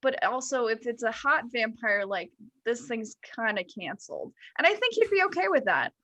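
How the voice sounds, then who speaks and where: A woman with a high, bright voice banters casually as a podcast guest. She is playful and joking, talking as she would among friends. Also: very animated, moderate pace.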